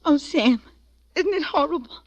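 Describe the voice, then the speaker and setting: An actress playing an anxious, naive young American wife with an earnest, pleading manner in 1940s radio style. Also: female voice, high voice, animated, normal speed, dry recording. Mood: frightened pleading. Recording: muffled dry 1940s radio recording.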